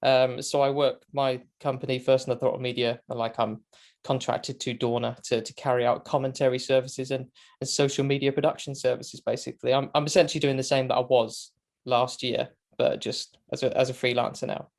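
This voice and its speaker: A man's voice: mid-range voice, little pitch movement, brisk, gravelly. A British man in his twenties chatting casually as an interview guest, talkative and self-deprecating. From Great Britain. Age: 20s. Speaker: guest, motorcycle racing commentator. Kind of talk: casual chat.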